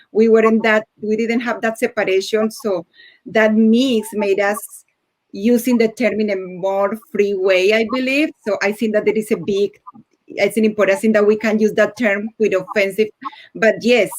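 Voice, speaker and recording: A woman from Colombia, a native Spanish speaker with a Colombian accent, sharing personal experience on a panel in slightly halting English. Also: medium pitch, low-quality recording. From Bogota, Colombia. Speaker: teacher on a discussion panel.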